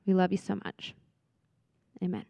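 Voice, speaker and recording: A woman speaker at a church who closes a reflective talk and then leads the room in a soft, earnest prayer. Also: on the low side, completely flat delivery, moderate pace, creaky, dry recording. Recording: dull, very dry sound.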